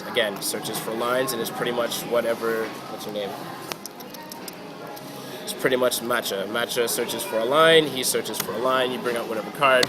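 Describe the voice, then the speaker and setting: A man sick and close to losing his voice talks through his card game deck in a loose, offhand way. He drops the odd swear word and trails off with fillers. Clear background noise and passing traffic fill the store around him. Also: medium pitch. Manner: laid-back, swears casually, trails off with fillers. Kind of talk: casual deck walkthrough. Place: hobby store.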